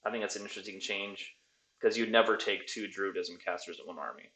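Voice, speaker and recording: A man hosting a casual chat about a tabletop wargame, talking in a relaxed, halting way with many fillers. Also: deep voice, roomy sound, low-quality recording. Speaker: podcast host. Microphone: new desktop microphone.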